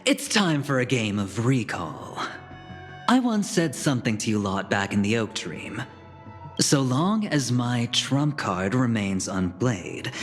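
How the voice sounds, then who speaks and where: A self-assured, theatrical gambler in a video game. His voice sits at a middle pitch and taunts with smug showmanship. Long reverb surrounds it, with faint music underneath.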